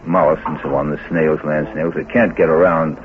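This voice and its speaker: A man speaking as a scientist being interviewed, phrasing his answers haltingly and deliberately in short bursts. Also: a bass voice, little pitch movement. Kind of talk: unscripted expert interview. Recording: phone-like old recording, chatter behind.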